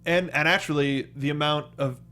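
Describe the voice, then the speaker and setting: A man's voice: a little high, steady tone, normal speed, pushed and bright. A man co-hosting a talk show in an enthusiastic, slangy, casual chat about games and films.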